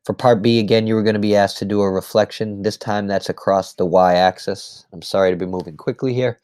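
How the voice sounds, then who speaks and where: Male math teacher, low register, a little scratchy today, patient walkthrough delivery, dry close sound. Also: steady tone, a little fast, darkish tone, clear, resonant tone, no room sound. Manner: patient step-by-step explaining. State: slightly hoarse. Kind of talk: screen-recorded lesson recap.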